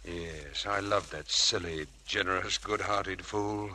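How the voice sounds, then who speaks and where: Smooth evasive husband in an old radio play, low voice, sharp edge. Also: male voice, fairly even intonation, takes it slowly, slight background noise, full character voice. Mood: tender, regretful. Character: suave, evasive English husband under suspicion. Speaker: radio actor. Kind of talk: 1940s radio acting. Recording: old radio broadcast recording.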